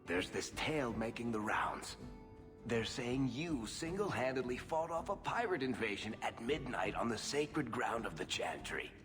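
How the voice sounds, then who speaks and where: A man's voice: mid-range voice, animated, moderate pace, close to an everyday voice. A male actor playing a roguish, cocky dwarf storyteller in a video game, with a wry, theatrical way of talking. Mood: playful boasting. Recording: reverberant voice with loud music behind.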